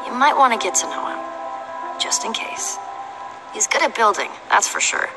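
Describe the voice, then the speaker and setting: A woman's voice: medium pitch, very animated, moderate pace, over music, lightly acted, poor audio. A woman voicing a tough, confident young female adventurer in a video game, with a dry, teasing, self-assured manner. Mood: teasing, persuasive. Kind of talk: animated game dialogue.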